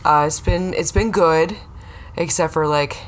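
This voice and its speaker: A woman's voice: sits low, fairly even intonation, a little slow, a little hiss or hum. A woman, a guest on a comedy podcast, telling stories in a rambling, hesitant way full of restarts. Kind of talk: casual comedic chat.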